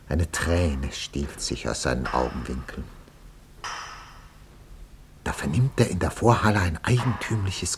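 Spooky story narrator, deep voice, dramatic and solemn, hissy old radio recording. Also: male voice. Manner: solemn, suspenseful. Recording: noisy 1950s radio recording.

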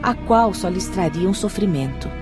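A woman's voice: lowish voice, animated, loud music behind the voice, close to an everyday voice. A woman with a Brazilian accent playing a serene, courteous spirit sister in a radio drama, speaking formally. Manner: measured, formal.

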